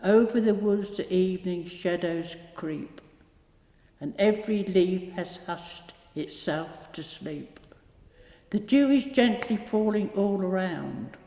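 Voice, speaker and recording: Slow, reverberant woman reciting verse. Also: medium pitch, mellow. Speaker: poetry reader. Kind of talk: measured poetry recitation. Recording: echoey hall with long reverb.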